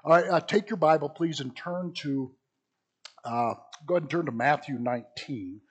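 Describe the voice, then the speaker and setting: A middle-aged male pastor teaching Sunday school with a hoarse, husky voice after being sick, talking casually and joking with asides. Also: fairly high pitch, normal speed. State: hoarse from illness. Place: small church.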